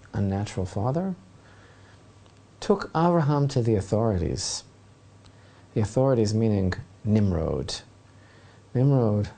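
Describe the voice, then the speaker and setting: Brief: male rabbi teacher, mellow warm tone, lively storyteller with asides. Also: lowish voice. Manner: dramatic storytelling, asides to listeners. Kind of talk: Torah lesson retelling a legend.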